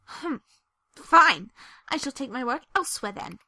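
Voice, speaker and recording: A woman's voice: sits high, expressive, unhurried, bright, forceful tone, dry recording, clean studio sound, exaggerated voice. A woman playing a headstrong, curious young mare in an audio drama, theatrical and indignant. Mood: sulky, frustrated.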